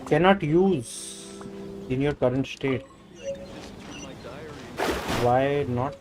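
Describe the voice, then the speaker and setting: A man's voice: medium pitch, very slow. A man giving casual gameplay commentary as a streamer. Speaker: game streamer. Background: game music.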